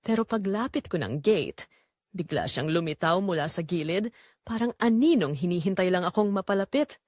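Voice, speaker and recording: Expressive woman narrating a drama. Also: lowish voice, normal speed, dry recording, clean studio sound. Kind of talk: dramatic first-person scripted narration.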